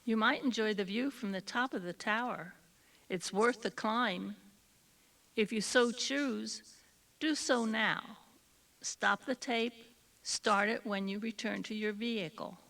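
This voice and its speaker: A woman's voice: fairly high pitch, a little slow, a bit bright, distant mic. A woman reading scripted instructions as an audio tour guide. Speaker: tour guide giving directions.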